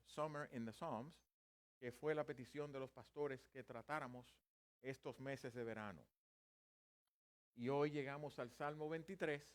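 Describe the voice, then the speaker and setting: A man in his fifties preaches in his native Spanish from a church pulpit. He moves from light self-deprecating humor into earnest teaching, and his voice sits at a middle pitch. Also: fairly bright tone. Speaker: pastor. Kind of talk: bilingual church sermon in Spanish. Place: church sanctuary.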